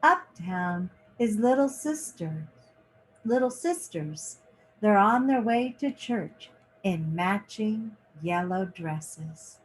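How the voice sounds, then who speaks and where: Slow-paced woman reading a picture book. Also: medium pitch, expressive, slight background noise, rough recording. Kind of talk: children's picture book read-aloud.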